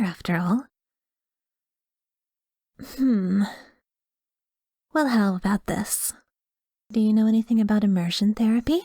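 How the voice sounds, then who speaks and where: A woman playing a doting, possessive therapist in a soft-spoken, breathy, whispery ASMR roleplay, coaxing and soothing. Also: mid-range voice, no echo, clean studio sound. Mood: gentle, coaxing. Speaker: audio roleplay performer. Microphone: close microphone, dry.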